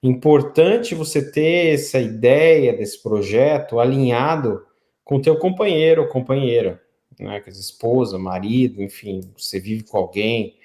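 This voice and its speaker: A man's voice: medium pitch, a little slow, warm tone. Brazilian guy hosting a chat, middle aged, informal, explains stuff, roomy echo on the mic. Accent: Brazilian Portuguese. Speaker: interview host. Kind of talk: casual conversational interviewing. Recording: roomy, echoey sound.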